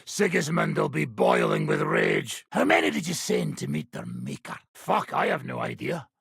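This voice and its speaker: Blunt mercenary captain, male, heavy rasp and grit, forceful edge, high-pitched, swearing, gruff, medieval game character. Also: wildly swooping pitch, normal speed, no echo, clean studio sound, heavily acted. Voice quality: gravelly, raspy. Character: coarse, combative, foul-mouthed medieval mercenary commander. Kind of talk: video game character acting.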